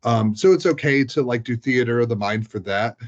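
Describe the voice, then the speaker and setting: A man's voice: mid-range voice, animated, fairly quick. A man chatting casually as he recounts tabletop game sessions, rambling and peppering his talk with "like". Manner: rambling, frequent "like" as filler. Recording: dull, lo-fi sound.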